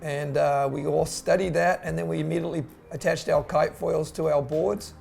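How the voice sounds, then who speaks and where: Gravelly New Zealand man, relaxed. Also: a little high, faint music behind. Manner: relaxed, with frequent "uh" pauses. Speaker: company co-founder. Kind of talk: casual promotional chat.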